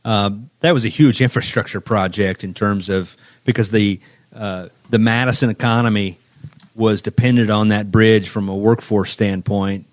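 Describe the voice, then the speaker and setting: A man from Indiana who is the guest on a casual podcast, telling stories in a measured way with many filler phrases. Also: on the low side, fairly even intonation, no room sound. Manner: measured, storytelling, many "you know" fillers. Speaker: guest, economic development executive.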